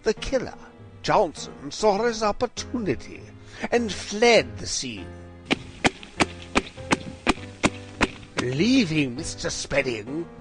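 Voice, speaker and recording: An actor playing a brilliant, self-assured Victorian gentleman detective in an audio drama, with a precise, formal and theatrical delivery. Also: a little high, big pitch swings, slow, over music. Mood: dramatic.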